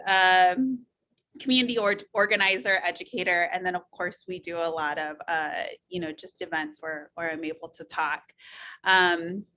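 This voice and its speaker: Middle-aged woman presenter, bright tone, casual and rambling, lots of fillers. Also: mid-range voice. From United States (Missouri). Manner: loose and chatty, many fillers and restarts. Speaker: nurse practitioner giving a talk. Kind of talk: webinar presentation with slides.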